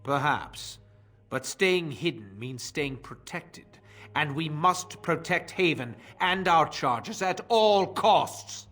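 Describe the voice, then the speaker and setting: Zealous village cult priest for a fantasy game: measured, unhurried delivery, grave and pompous, preaching. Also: male voice, on the high side, animated, a bit bright, soft background music, exaggerated voice. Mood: stern, guarded. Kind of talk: formal sermon-like video game acting.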